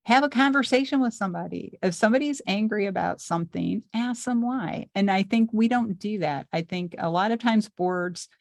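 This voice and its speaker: A woman hosting a podcast interview in a casual chat, with a soft voice and frequent pauses as she speaks. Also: medium pitch, gravelly, dry recording, clean studio sound. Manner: pauses often while speaking. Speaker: podcast host.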